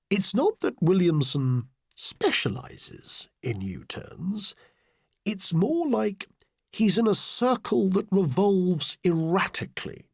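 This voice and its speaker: A man reading a satirical diary audiobook as a scheming, self-important male political adviser, deadpan, deliberate and sardonic. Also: on the high side, vocal fry, husky, no echo, clean studio sound, full character voice. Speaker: audiobook reader. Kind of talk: satirical first-person diary audiobook narration.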